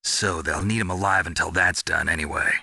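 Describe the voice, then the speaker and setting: Gruff, very deep veteran soldier. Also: male voice, brisk, no room sound, exaggerated voice. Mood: grim, businesslike. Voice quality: gravelly, husky. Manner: terse, deadpan, hard-bitten. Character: gruff, world-weary veteran male special forces soldier. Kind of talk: dramatic video game voice acting.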